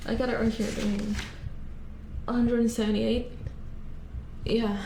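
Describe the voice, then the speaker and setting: Female robot repair technician acted by a woman for ASMR horror roleplay; secretive and anxious, higher-pitched yet creaky, hushed, halting delivery. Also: level tone, reverberant, noisy background. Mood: nervous, deferential. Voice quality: soft, breathy, often whispered. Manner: halting, stammering, repeating words. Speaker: ASMR performer.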